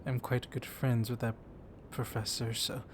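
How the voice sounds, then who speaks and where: A man playing a gently dominant, comforting vampire librarian in an intimate ASMR roleplay, speaking softly and haltingly, often slipping into a whisper. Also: medium pitch, little pitch movement, close to an everyday voice. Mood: teasing, confiding. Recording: clear storm noise behind the voice. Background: thunderstorm ambience.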